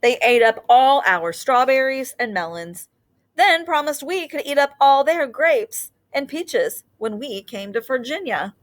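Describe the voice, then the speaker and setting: A woman's voice: sits high, lively intonation, measured pace. Woman reading a picture book aloud. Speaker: teacher reading aloud to children.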